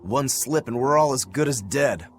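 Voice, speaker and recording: Game role: breezy young swordsman by a male actor, wry, teasing, very animated intonation. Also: mid-range voice, moderate pace, a little hiss or hum, close to an everyday voice. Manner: casual, teasing, laid-back. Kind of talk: English video game voice acting.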